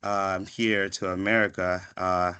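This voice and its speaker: Low-pitched man giving a reflective talk. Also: level tone, unhurried, pushed and bright, smooth. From born in Ghana, raised in Washington, D.C. area from age five. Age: 30s. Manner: reflective, conversational, often checks in with "right?". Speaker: guest speaker, psychiatric pharmacist. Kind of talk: motivational career talk to students. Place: online video session.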